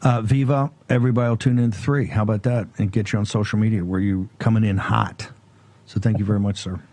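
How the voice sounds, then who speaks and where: A man hosting a political talk show, asking long run-on questions in a quick, emphatic way. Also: on the low side, steady tone, darkish tone.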